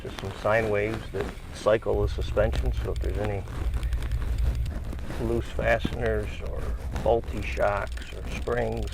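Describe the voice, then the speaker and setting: A man's voice: fairly low pitch, level tone, unhurried. A man, a plant staffer, informally explaining a test. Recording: clear vehicle noise behind voice.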